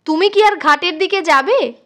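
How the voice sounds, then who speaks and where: Woman playing frightened village wife, high-pitched, urgent, anxious, audio storybook. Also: moderate pace, a bit bright. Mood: worried. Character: frightened, anxious village wife, questioning and pleading with her husband. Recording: music behind the voice.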